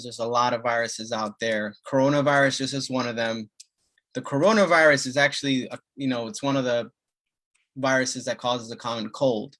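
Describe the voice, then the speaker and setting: Halting bilingual family doctor. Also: male voice, mid-range voice, a bit bright. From Dominican Republic. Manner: halting, many fillers and restarts. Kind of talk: community health talk, bilingual.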